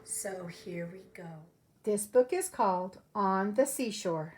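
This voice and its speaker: A woman preschool teacher telling a story to young children in an expressive way, with simple phrasing and direct questions. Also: medium pitch, slow pace.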